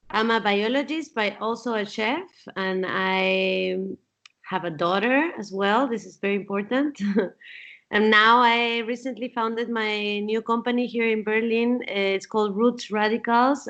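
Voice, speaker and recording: A woman from Peru in her forties, answering interview questions in a thoughtful way, with frequent fillers and restarts. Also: mid-range voice. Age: 40s. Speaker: guest. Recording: dull lo-fi sound.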